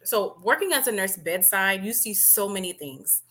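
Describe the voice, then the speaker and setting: Female interview guest from Miami, bright crisp-edged tone, composed, conversational explainer. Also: medium pitch, expressive, moderate pace. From Miami, Florida. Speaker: podcast guest, nurse and business owner.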